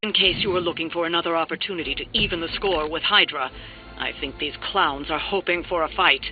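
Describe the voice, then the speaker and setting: An actress playing a cocky, taunting heroine in a video game, with a wry, challenging manner. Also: female voice, low-pitched, a little fast, lightly acted. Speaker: video game character actor. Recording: dull narrow sound, music behind.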